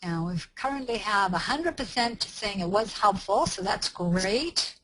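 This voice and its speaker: Lady in her 50s giving a training webinar, careful and wordy, lots of ums, middling pitch. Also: animated, unhurried, a bit bright, slight background noise, low-quality recording. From South Africa. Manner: careful, explanatory, with frequent fillers and restarts. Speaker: webinar presenter. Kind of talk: online training presentation.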